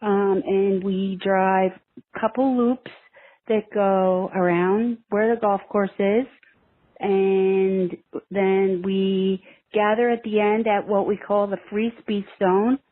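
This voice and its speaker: Slow-talking woman on a phone line. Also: medium pitch, fairly even intonation. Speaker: podcast listener leaving a voicemail. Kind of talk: conversational personal account in a voicemail. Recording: narrow tinny phone line.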